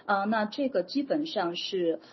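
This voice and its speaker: A woman working as an academic advisor interprets the talk, speaking steadily with frequent filler pauses. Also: medium pitch, lively intonation. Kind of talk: consecutive interpreting. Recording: dull lo-fi audio with faint noise.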